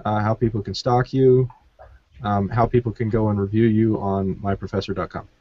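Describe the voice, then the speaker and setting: A man's voice: lowish voice, flat delivery, normal speed, no echo. A man hosting a casual podcast chat in a jokey, rambling way, often losing his thread. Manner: rambling, jokey, loses his train of thought. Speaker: podcast host. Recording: dull, muffled lo-fi sound.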